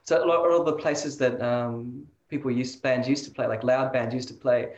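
A man's voice: mid-range voice, fairly even intonation, brisk, darkish tone, highs cut off. Australian guy in a band, easygoing, stop-start talker, roomy echo on the audio. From Sydney, Australia. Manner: hesitant, repeats words, laughs often. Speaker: band member guest. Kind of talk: casual interview chat. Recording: roomy echoey sound.

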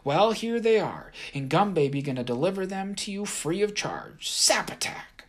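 A man doing a tiny loudmouthed sap doll girl. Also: high voice, sing-song, moderate pace, voice pushed up, exaggerated voice. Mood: gleeful. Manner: loud, boastful trash talk.

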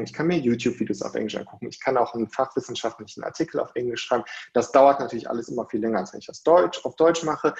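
A man talks at length in a relaxed advisory chat, dropping fillers as he goes. His voice has a gravelly fry. The sound is dull and lo-fi. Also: medium pitch. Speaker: academic advising a prospective doctoral student. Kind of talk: casual advisory conversation.

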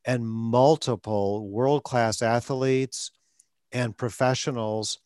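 A man's voice: medium pitch, fairly even intonation, takes it slowly, a little mellow, no echo, studio quality. A man hosting a podcast as a stuttering coach, talking in short phrases with an occasional stutter block. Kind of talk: conversational coaching talk.

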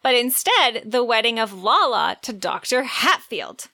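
A woman's voice: on the high side, big pitch swings, measured pace, pushed and bright. A woman co-hosting a podcast, retelling a story in a playful, informal way. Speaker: podcast co-host. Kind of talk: lively retelling of a romance novel plot.

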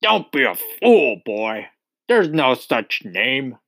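Guy doing a massive scary storybook headmistress, loud barky bully, dry close recording, hates little kids. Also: on the low side, animated, unhurried, no echo. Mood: indignant, threatening. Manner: bellowing, barking, contemptuous. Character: huge bullying headmistress who despises small children.

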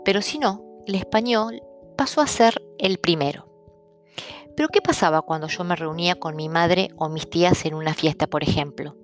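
A middle-aged woman from Argentina with an Argentine accent, calmly explaining things in a personal talk. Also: lowish voice, moderate pace, faint music behind. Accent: Argentine Spanish. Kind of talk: personal talk on stuttering and languages.

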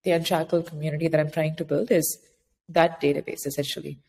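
A woman's voice: sits low, level tone, fast talker, dark tone. A woman speaking as an interview guest, giving practical, explanatory advice in a conversational style. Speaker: interview guest, author advising immigrant founders. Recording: echoey hall-like reverb, clear background noise.

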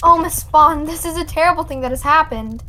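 A woman's voice: high-pitched, fairly quick, pushed and bright, a little hiss or hum. A woman playing a distressed, wounded young sweetheart in an old-time radio drama, pleading and faltering. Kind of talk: 1940s radio drama acting. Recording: dramatic music behind the voice.

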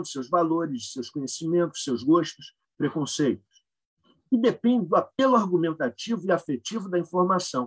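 A man's voice: on the high side, a bit bright, husky, low-quality recording. A Brazilian man speaking as a panelist, reading a formal, erudite academic paper with brief informal asides.

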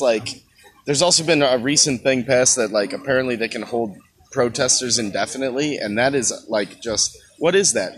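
A man's voice: mid-range voice, a bit bright. A man in his twenties speaking indignantly, with hesitant fillers. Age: 20s. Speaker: protest group member.

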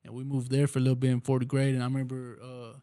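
A man's voice: mid-range voice, monotone, fast talker, warm tone, no room sound, studio quality. A young man from Texas, a rapper guest, chatting casually with a laid-back drawl, heavy slang and swearing; Spanish was his first language. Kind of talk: casual podcast chat.

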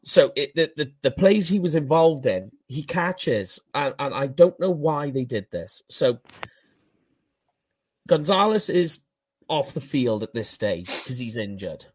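A Welsh man with a Welsh accent co-hosting a fan podcast, talking football in a casual, animated way and laughing at himself. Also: medium pitch, a little slow, slightly rough, no echo. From Wales. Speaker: podcast co-host. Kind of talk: casual sports chat.

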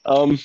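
A young man bantering casually as a podcast co-host over an online call, with his voice breaking up robotically at times. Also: a little hiss or hum. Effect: choppy robotic connection distortion. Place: remote voice call. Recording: dull lo-fi online call audio.

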